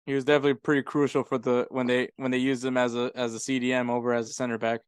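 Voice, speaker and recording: A man's voice: mid-range voice, flat delivery, rapid-fire, clean tone, no echo. A man co-hosting a sports podcast in casual soccer chat, with an informal, jokey way of talking.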